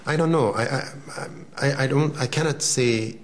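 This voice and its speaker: A man's voice: mid-range voice, measured pace, slight background noise. A man in his forties from Switzerland whose first language is Arabic, answering an interview in a thoughtful, hesitant way, often repeating words. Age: 40s. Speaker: interview guest.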